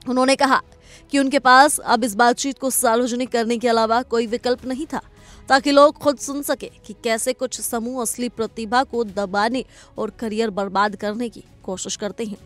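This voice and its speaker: A woman's voice: a little high, steady tone, moderate pace. A woman presenting a scripted entertainment news report as a narrator. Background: background music.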